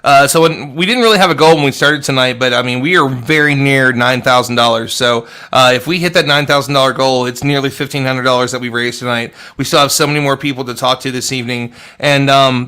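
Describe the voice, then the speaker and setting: A man's voice: medium pitch, pushed and bright, smooth. A man hosting a live online panel, talking earnestly and rambling with many restarts. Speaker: host. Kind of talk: casual livestream chat.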